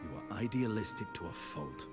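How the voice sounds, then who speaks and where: Deep-voiced, stern warrior. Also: male voice, expressive. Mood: stern candour. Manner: grave, measured, formal delivery. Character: stern, world-weary male warrior, grudgingly admiring. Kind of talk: scripted fantasy game dialogue. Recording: long reverb, music underneath.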